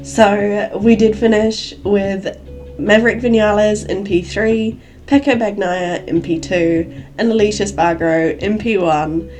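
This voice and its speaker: A woman hosting a solo podcast, chatty and rambling, her voice gravelly and croaky from a cold. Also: medium pitch, fairly even intonation, measured pace, darkish tone, soft background music. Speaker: podcast host.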